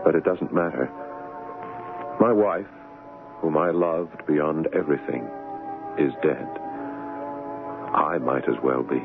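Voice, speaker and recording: An actor playing a devoted, sceptical husband with an American accent in a 1970s radio drama. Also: male voice, rumbling low, slow, pauses often, heavily acted. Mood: bleak, grieving. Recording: tinny 1970s radio, music behind.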